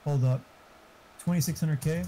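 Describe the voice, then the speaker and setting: A man's voice: on the high side, moderate pace, warm tone, a little hiss or hum. A man in casual gaming voice chat, giving opinionated, informal tech advice with some swearing. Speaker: gamer giving computer hardware advice.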